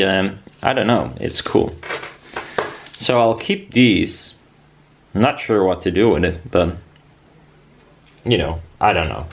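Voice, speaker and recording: A man's voice: low-pitched, slight background noise. A man giving a casual workshop demonstration as a DIY maker, rambling and thinking aloud as he goes. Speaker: DIY maker presenting a build video.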